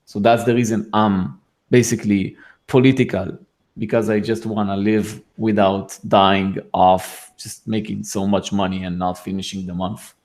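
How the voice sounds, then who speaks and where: A man with an Israeli accent, a guest in a casual chat, who speaks haltingly with restarts and fillers. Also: on the low side, flat delivery, a little mellow. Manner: halting, many restarts and fillers, laughs between points.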